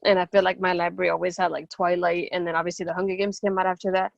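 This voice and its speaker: A woman's voice: on the low side, flat delivery, vocal fry, no room sound, highs cut off. A young woman from Puerto Rico interviewing an author over a video call, talking enthusiastically and acting out what people said. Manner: fast, enthusiastic, acts out quoted reactions. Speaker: interviewer, book reviewer. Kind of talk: casual interview chat.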